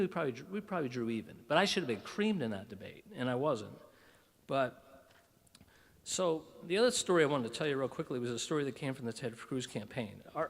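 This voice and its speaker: A man's voice: mid-range voice, long reverb tail. A man giving a humorous, self-deprecating keynote speech at a breakfast event, telling stories animatedly with frequent restarts. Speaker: keynote speaker. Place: conference breakfast room.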